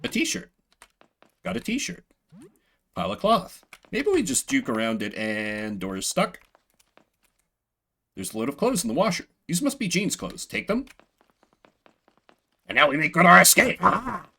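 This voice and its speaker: An excitable male streamer gives live commentary on a video game. His pitch leaps around a lot and his tone is bright and punchy. He talks in loose, sweary slang and reads bits of game text aloud. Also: a little slow, vocal fry, long pauses, breathy edge.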